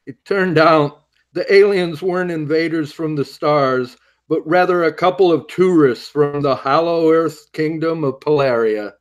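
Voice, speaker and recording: A man's voice: on the high side, unhurried, close to an everyday voice. A man playing a wry pulp hero who tells his story in a dramatic, hardboiled way, in an audio drama. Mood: wry recounting. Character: wry male masked hero recalling his alien abduction.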